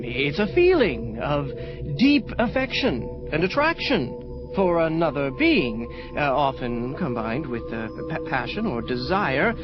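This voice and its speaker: An actor playing a nervous, evasive angel official in an audio drama, stammering and halting as he speaks. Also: a little high, exaggerated voice. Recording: muffled lo-fi audio drama with music. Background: prominent music.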